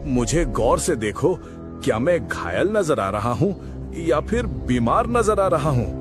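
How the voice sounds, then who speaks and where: Guy as a puzzled cartoon animal, slow talker, lots of up and down. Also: fairly high pitch, very animated. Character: calm, puzzled male animal friend of the lion. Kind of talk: animated children's fable acting. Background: music.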